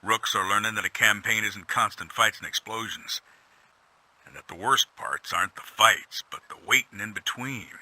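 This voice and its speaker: An actor playing a world-weary, gruff robot soldier in a video game audio log, a humble, plainspoken veteran with a folksy, stoic manner. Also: male voice, on the low side, sharp tone, no room sound, low-quality recording. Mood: reflective, matter-of-fact. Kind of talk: scripted in-character audio log monologue.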